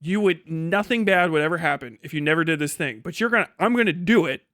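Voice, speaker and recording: A man's voice: fairly high pitch, fast talker, a bit bright, dry recording, clean studio sound. A man hosting a livestream, giving casual, sarcastic and mocking reaction commentary full of swearing. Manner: sarcastic, mocking, laughs often. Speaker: livestream host.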